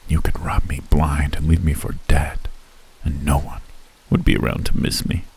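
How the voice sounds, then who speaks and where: A man voicing a reclusive, wealthy elf who talks in a genteel, teasing and softly menacing way, in an ASMR roleplay. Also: extremely low pitch, level tone, moderate pace, vocal fry, husky, heavily acted. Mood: teasingly ominous. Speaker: voice actor.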